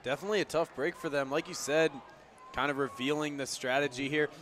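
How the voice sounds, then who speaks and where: A man's voice: a little high, fairly even intonation, a little fast, fairly bright tone, a little hiss or hum. A man giving conversational, analytical color commentary during a live baseball radio broadcast, explaining the plays as they happen. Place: outdoor ballpark broadcast position.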